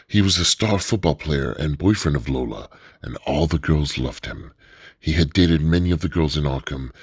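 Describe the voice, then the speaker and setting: A man narrating a radio drama in a steady, measured storytelling manner. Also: very deep voice, husky, no room sound, studio quality.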